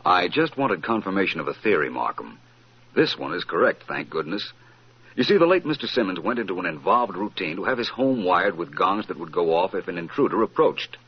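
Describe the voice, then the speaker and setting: A man's voice: low-pitched, sing-song, a little fast. An actor playing a suave, assured male detective, courteous but probing, in 1940s radio drama style. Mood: confident. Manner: polished, courteous, incisive. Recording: muffled, noisy old radio recording.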